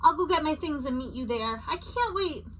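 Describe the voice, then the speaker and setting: A woman voicing excitable, chatty map states from a children's storybook in a playful, exclamatory way. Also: high voice, moderate pace, slight background noise, pitched above the performer's own voice. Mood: excited, cheerful.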